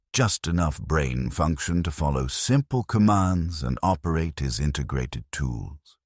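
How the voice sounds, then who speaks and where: A man with an extremely low, husky voice narrates dark science fiction in the first person. His delivery is measured and grim, yet the pitch moves in a lively way. The sound is dry and close. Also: no echo.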